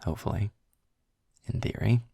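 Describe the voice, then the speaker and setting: A man playing a snarky, weary, well-spoken demon guide in an intimate audio roleplay, with a husky, gravelly voice and a dry, wordy manner. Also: mellow, no echo, close to an everyday voice. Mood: dryly sardonic. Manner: sarcastic, wordy, dry, deliberate. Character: snarky, weary, erudite male demon guide trapped in hell.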